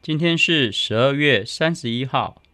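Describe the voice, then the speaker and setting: Very low, lively woman reading answers. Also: moderate pace, no echo. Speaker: Chinese translation responder. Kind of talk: scripted language-lesson reading.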